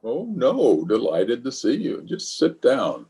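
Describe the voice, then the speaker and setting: An actor as a pompous middle-aged boss in an old-time radio comedy. He blusters and stammers, hedging his way around things in a man's mid-pitched voice. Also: wildly swooping pitch, slow, dark tone, exaggerated voice. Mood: evasive, flustered. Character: flustered, evasive, pompous middle-aged male water department boss.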